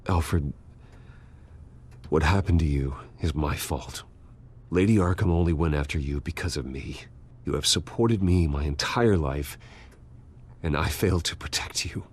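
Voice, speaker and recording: An actor playing a brooding, resolute vigilante in a video game, earnest and understated. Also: male voice, a bass voice, a little slow, a little mellow, breathy edge, heavily acted. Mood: remorseful, resolute. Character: brooding wealthy male vigilante, guilt-ridden and resolute. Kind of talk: dramatic video game acting.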